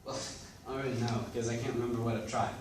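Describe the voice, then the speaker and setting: A man's voice: mid-range voice, fairly even intonation, fast, darkish tone, lightly acted. A man playing a weary, anxious former investigator with memory loss in readers theatre. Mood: wistful, uneasy. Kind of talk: readers theatre stage acting. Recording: echoey room, distant mic, background noise.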